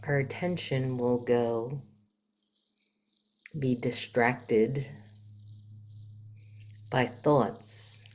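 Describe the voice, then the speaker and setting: Woman doing a guided meditation, super deep voice, really really slow, soft and a bit breathy, long gaps. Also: steady tone. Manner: soft, gentle, with long pauses.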